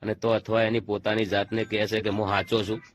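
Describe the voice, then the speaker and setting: A man's voice: lowish voice, level tone, brisk, slight background noise. A man with a Gujarati accent presenting an informal commentary to viewers in a disapproving manner. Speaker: video presenter. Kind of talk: informal commentary on a call recording.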